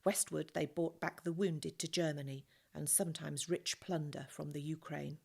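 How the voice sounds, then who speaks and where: A woman reading a novel aloud in a steady, expressive storybook manner. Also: low-pitched, normal speed, vocal fry, husky. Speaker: reader.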